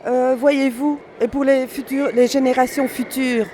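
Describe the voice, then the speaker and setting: A woman's voice: high voice, fairly even intonation, a little slow, a crowd in the background. A woman asking passers-by questions in a street interview, polite and upbeat.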